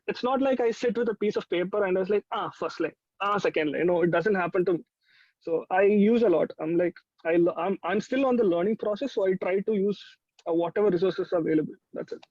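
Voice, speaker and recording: High-voiced young Indian-accented man, lo-fi sound. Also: a little mellow, no echo. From Kerala, India. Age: young adult. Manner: informal, halting. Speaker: musician being interviewed. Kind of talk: casual interview chat.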